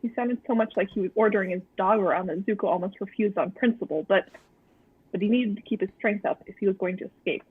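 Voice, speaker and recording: A woman reading a story aloud and chatting casually as a co-host, with a dry, deadpan manner. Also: medium pitch, quick pace, breathy edge, no room sound, narrow, tinny audio. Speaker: co-host of a fan fiction read-aloud stream.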